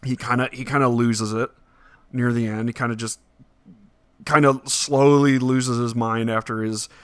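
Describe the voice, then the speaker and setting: A man chatting casually as a podcast host about entertainment, talking in a halting way with repeated phrases. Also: medium pitch, little pitch movement, clean tone, no echo. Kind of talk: casual movie discussion podcast.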